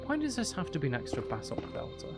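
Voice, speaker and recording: A man's voice: on the high side, wildly swooping pitch, fast. A man giving casual gameplay commentary, muttering to himself as he thinks aloud while he plays. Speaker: gamer playing Minecraft. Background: background music.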